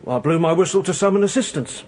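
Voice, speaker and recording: An actor playing a deferential, slightly hesitant British police constable giving factual evidence in a radio courtroom drama. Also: male voice, a little high, expressive, fast talker, a little mellow. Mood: dutiful, nervous.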